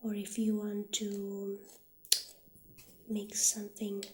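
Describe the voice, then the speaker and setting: A woman speaking in a gentle, hushed ASMR roleplay style, partly whispering, as an obliging witch shopkeeper addressing a customer. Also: medium pitch, monotone, takes it slowly, slight background noise. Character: soft-spoken witch shopkeeper, calm and obliging.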